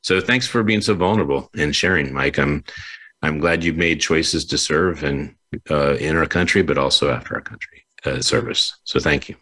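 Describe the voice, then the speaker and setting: Low-voiced, chatty male show host. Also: moderate pace, sharp tone. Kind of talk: conversational interviewing. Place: video call.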